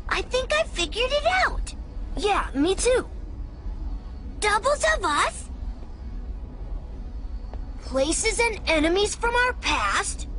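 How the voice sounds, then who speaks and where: A woman's voice: very high voice, sing-song, takes it slowly, pauses often, bright, forceful tone, faint music behind, heavily acted. A woman voicing an eager, clever little boy fox sidekick in a video game, earnest and boyish. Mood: curious, earnest. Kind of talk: video game cutscene acting.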